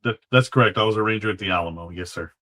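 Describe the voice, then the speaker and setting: A man's voice: fairly low pitch, very fast, bright, forceful tone. A man from Texas chatting as a guest, polite and folksy, often repeating words as he goes. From Texas, United States. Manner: polite, says sir, folksy y'all. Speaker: guest, former Alamo guard. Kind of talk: casual interview chat.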